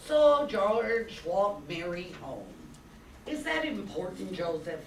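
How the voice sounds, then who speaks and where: A woman's voice: mid-range voice, very animated, takes it slowly. An older woman playing a curious, naive angel asking questions in an amateur radio play. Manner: inquisitive. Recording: echoey, distant, noisy room.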